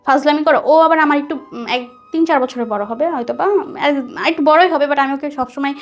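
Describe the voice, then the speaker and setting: A woman with a Bangladeshi accent telling a personal story conversationally, animated and dropping in English words. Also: high-pitched, brisk, highs cut off. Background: soft background music.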